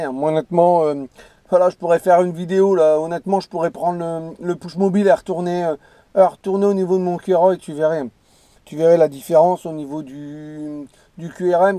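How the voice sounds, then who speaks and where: A man with a fairly high, slightly mellow voice, recorded close and dry. He chats casually as a CB radio operator, rambling and emphatically repeating his points. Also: fairly quick, no echo. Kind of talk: casual radio contact chat.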